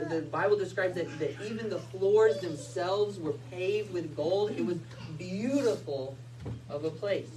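Male pastor, 30s, lively storyteller, recorded from the back of a small hall. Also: high voice. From United States. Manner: animated storytelling with hand gestures. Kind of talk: sermon. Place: small church hall with Christmas tree. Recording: distant mic, faint room noise.

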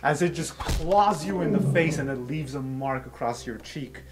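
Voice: medium pitch, normal speed, over a noisy crowd. A game master narrating an improvised tabletop fantasy game in a casual, informal way. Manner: casual, informal, swearing freely. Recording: music under the talk. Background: music.

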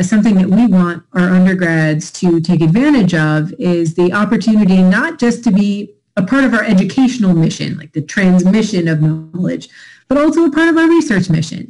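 A woman's voice: lowish voice, animated, normal speed, mellow, rough recording. A middle-aged woman giving a conversational college information presentation from home over a video call, explaining programs with occasional fillers. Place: home room. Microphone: laptop webcam on a video call.

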